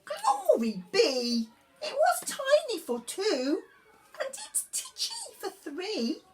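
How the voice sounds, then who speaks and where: A woman's voice: very high-pitched, huge pitch swings, slow, slight background noise, voice pushed up, full character voice. A woman voicing a distressed, grumbling little old lady from a storybook, wailing and stretching out her words dramatically. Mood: despairing.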